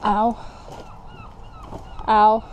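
A woman's voice: medium pitch, monotone, extremely slow, highs cut off, a little hiss or hum. A young woman chatting casually as she walks and explores, exclaiming at what she finds, recorded on a camera she carries. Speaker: urban explorer vlogger. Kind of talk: casual walk-and-talk narration. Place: outdoors and inside a derelict building.

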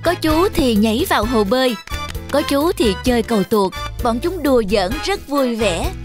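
A woman's voice: on the high side, animated, moderate pace. Woman narrating a children's story over music.

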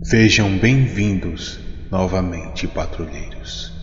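Formal alien envoy, low and unhurried, echoey hall, lo-fi. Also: male voice. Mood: solemn. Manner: formal, slow, ceremonious. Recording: echoey hall, dull lo-fi sound, music behind.

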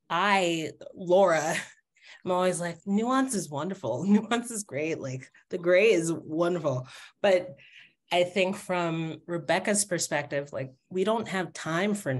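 A woman speaking casually and reflectively on a video call, halting as she searches for words. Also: fairly low pitch. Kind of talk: casual reflective panel talk. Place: home room, on a video call.

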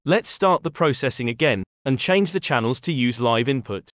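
A man reading a scripted software tutorial in a measured, instructional way. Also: medium pitch, expressive, dry recording, studio quality. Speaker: tutorial narrator.

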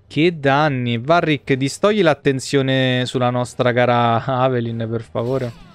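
A man's voice: medium pitch, fairly even intonation, measured pace, clear, resonant tone, faint music behind. A man, giving casual, sweary, joking live commentary on a video game. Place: indoor room, streaming setup.

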